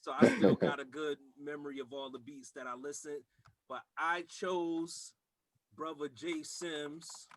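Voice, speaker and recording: A man co-hosting a casual online chat, speaking in a slow, drawn-out way with friendly slang. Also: fairly high pitch, flat delivery, a crowd in the background, low-quality recording. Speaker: co-host.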